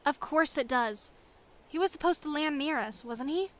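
A worried, soft-hearted rebel with a high voice. She speaks earnestly, in the manner of a sci-fi audio drama. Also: lively intonation, normal speed. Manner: earnest, concerned. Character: caring, worried female rebel returning from a mission.

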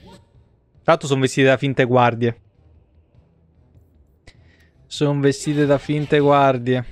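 A man's voice: medium pitch, flat delivery, slow, dry recording, soft background music. A man, giving casual, sweary, joking live commentary on a video game. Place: indoor room, streaming setup.

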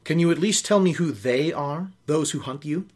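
A man's voice: on the high side, normal speed, lightly acted. A man voicing a curious, good-natured young man in a novel, earnest and inquisitive. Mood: puzzled.

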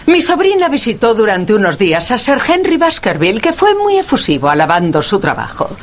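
A woman's voice: fairly high pitch, huge pitch swings, fairly quick, slight background noise. An actress playing an imperious, impatient aristocratic lady in a radio drama. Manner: imperious, haughty. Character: haughty, demanding aristocratic lady, impatient with servants.

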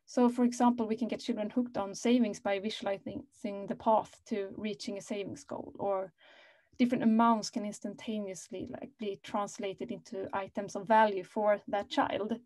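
A woman's voice: medium pitch, level tone, moderate pace, darkish tone, no echo. A woman in her thirties answering a panel question over a laptop video call, earnest and full of "like" fillers. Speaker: panelist, company operations head.

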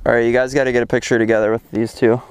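A man's voice: mid-range voice, racing. A man chatting casually while fishing from a small boat on a lake, talking to the fish as he reels them in. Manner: relaxed, talks to the fish while reeling. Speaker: angler filming his fishing trip.

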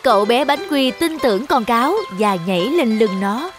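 A woman tells a scripted children's story in a fairly high voice. Clear background music plays under her narration. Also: animated, normal speed.

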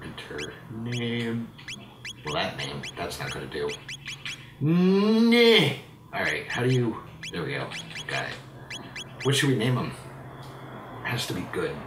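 A woman giving casual, excitable commentary as she plays a video game and co-hosts. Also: rumbling low, slow, pauses often, noisy background. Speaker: co-host playing the game. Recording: echoey, distant mic, loud game music. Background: loud video game music.

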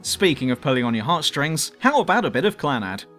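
A man's voice: a little high, sing-song, a little fast, over music. A man reading a scripted countdown review as host, with a cheeky, irreverent manner. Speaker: host and reviewer of a top ten list show.

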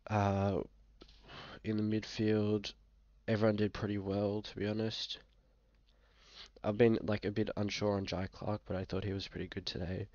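Low gravelly young Australian man, a bit sick. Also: little pitch movement, normal speed, a little mellow, no room sound, muffled recording. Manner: laid-back, frequent fillers, hesitant. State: sick, occasional coughing. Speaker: fantasy football vlogger. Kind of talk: casual screen-share commentary. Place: small room indoors.

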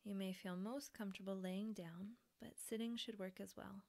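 Soft, creaky-voiced woman guiding relaxation. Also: medium pitch, fairly even intonation. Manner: soft, breathy, soothing instruction with pauses. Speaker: yoga nidra teacher. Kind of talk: guided relaxation meditation.

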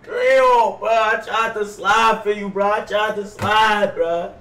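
A young American man talks to his stream in a fairly high voice. He is slangy, pleading and dramatic. The sound is echoey and off the mic, with clear noise behind him. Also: fairly even intonation, takes it slowly, bright, forceful tone. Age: young adult. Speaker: streamer playing an online roleplay game. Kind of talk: live game-stream commentary and in-character banter. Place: small room indoors. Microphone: headset. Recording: roomy, distant voice with clear background noise.

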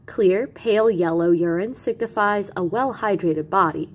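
A woman reading educational material aloud as a teacher, with animated emphasis and carefully separated lists. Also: mid-range voice, normal speed, a little hiss or hum. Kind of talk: expressive educational read-aloud.

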